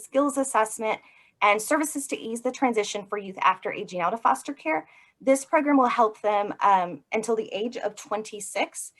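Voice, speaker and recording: Female program director, slightly high pitch, informational presentation, video call.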